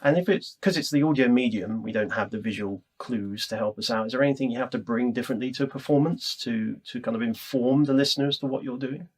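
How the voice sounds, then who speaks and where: A British man interviewing casually, enthusiastic and chatty, quick to agree with his guest. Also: medium pitch, husky. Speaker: interviewer, presenter. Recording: distant ambient room mic.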